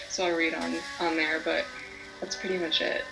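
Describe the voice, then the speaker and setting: A woman's voice: low-pitched, flat delivery, measured pace, vocal fry, music in the background, poor audio. A twenty-year-old woman studying psychology, answering in an easygoing, matter-of-fact way. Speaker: psychology student. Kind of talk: casual interview answers.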